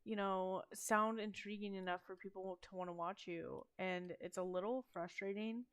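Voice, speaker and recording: A young woman chatting about game updates on her channel, speaking in a self-described monotone with frequent ums and trailing asides. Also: mid-range voice, moderate pace, smooth. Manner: monotone, hesitant with many ums. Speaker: gaming channel host. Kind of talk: casual game news chat.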